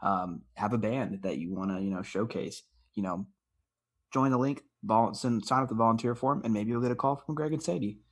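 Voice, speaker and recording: A man hosting a podcast, asking questions in a casual, halting, informal way. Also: lowish voice. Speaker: podcast host.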